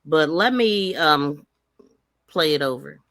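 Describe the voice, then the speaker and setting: A woman's voice: low-pitched, lively intonation, takes it slowly, a bit bright, no echo, studio quality. A woman giving casual live commentary as a podcast host, talking in a folksy, emphatic, conversational way.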